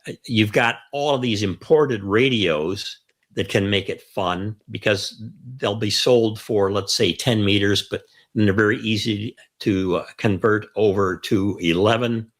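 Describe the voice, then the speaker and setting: An older American man with a fairly low voice hosts a conversational explainer. He is chatty and leans on his key phrases as he talks with a co-host. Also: measured pace. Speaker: host of a ham radio show.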